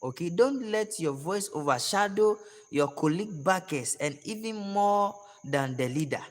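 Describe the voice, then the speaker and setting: A hoarse man giving a relaxed music lesson to singers, repeating his advice in an unhurried, gentle way. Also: high voice. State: hoarse, voice worn out. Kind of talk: casual spoken tutorial. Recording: long reverb with music playing behind.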